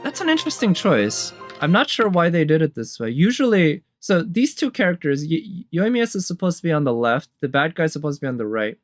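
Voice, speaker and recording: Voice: mid-range voice, big pitch swings, brisk, hardly any pauses, no echo. A casual reviewer giving reaction commentary on game trailers, conversational and musing, as if thinking aloud. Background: game trailer music.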